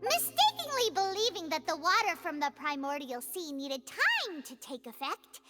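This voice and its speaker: An actress voicing a tiny, excitable female fairy companion who tells events with theatrical bounce, over game music. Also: very high voice, exaggerated voice. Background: game score music.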